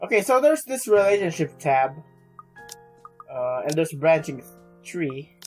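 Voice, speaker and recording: A man's voice: fairly high pitch, very animated, darkish tone. A young man doing casual let's play commentary, stumbling and rambling as he reads game text aloud. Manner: halting, stumbling, rambling, mild swearing. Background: game music.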